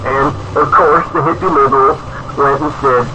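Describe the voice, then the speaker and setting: Male actor as folksy UFO conspiracy author, mid-pitched, rambling and insistent, on a hissy vintage radio tape. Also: fairly even intonation, normal speed. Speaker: interview guest, author. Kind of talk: improvised-sounding radio interview. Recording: noisy old cassette radio broadcast.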